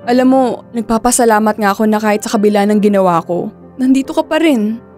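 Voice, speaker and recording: A woman's voice: mid-range voice, fast, darkish tone, soft background music, natural voice. An actress with a Filipino accent playing an anxious, guilt-ridden young woman in radio drama dialogue, fretful and pleading. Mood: remorseful.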